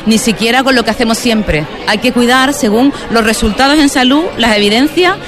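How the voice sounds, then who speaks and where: A woman dean of a nursing faculty explains things in a brisk, rapid stream. She is recorded outdoors with loud crowd chatter all around. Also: a little high, over music. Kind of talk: on-location interview. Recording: outdoor event with crowd noise.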